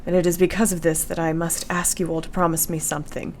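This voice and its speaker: An actress playing a brave, devoted young wife in a radio play, speaking solemnly and formally. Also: female voice, lowish voice, little pitch movement, fast talker, slight background noise, natural voice. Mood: solemn pleading.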